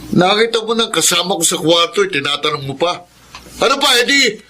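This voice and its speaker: An actor playing a callous, cheating live-in husband in a Filipino radio drama, with a brash, smug manner and Filipino accent. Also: male voice, high-pitched, lively intonation, brisk, bright, forceful tone, slight background noise. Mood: smug, scornful.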